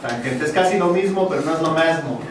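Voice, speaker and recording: A man's voice: on the high side, a little fast, background noise. A man with a Mexican Spanish accent teaching a university math class, chatty and joking between explanations. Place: large classroom. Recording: echoey classroom, distant, students murmuring.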